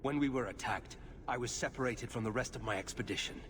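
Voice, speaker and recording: A man playing a grave, guarded warrior warden in a video game, speaking in a serious, measured way. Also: mid-range voice, big-room sound, lightly acted. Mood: urgent. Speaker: warden expedition leader in a fantasy game. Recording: clear background noise, game ambience.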